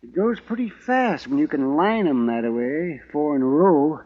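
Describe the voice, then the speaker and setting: An American man acting a wry, morbid, money-minded frontier doctor with a husky voice in a 1950s radio western. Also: on the high side, lively intonation, normal speed, phone-quality sound. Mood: drily cheerful. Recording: thin old radio recording.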